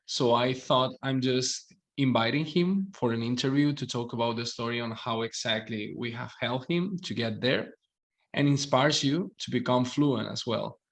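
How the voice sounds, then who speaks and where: A man hosts an interview at an unhurried pace, with a voice of ordinary pitch. He keeps his guest going with frequent short affirmations and friendly follow-up questions. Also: vocal fry. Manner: slow, encouraging, frequent affirmations. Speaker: language coach and host.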